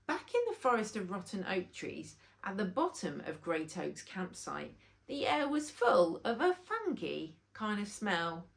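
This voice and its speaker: A woman reading a children's story aloud as an expressive narrator. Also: mid-range voice, unhurried, vocal fry.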